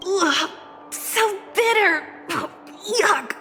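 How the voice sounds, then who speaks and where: A woman voicing a blunt, feisty and guarded young woman in a video game, with expressive delivery. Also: very high-pitched, very slow, heavily acted. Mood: disgusted. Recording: long hall reverb, game music behind. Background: game music.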